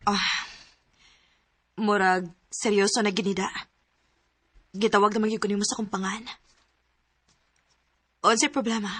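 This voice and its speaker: A woman's voice: medium pitch, steady tone, a little slow, pauses often, fairly bright tone, husky, dry recording. An actress playing a hesitant, heartbroken young woman in a radio drama, with a Cebuano Filipino accent. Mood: hurt, pleading. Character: heartbroken young woman telling her love story, pleading, hesitant.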